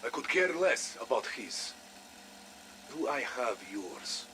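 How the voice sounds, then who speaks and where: A man playing a shrewd, wary Russian spy in a video game, with a Russian accent and measured, sly phrasing. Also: mid-range voice, very animated, background noise. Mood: pointed. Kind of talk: cinematic video game voice acting.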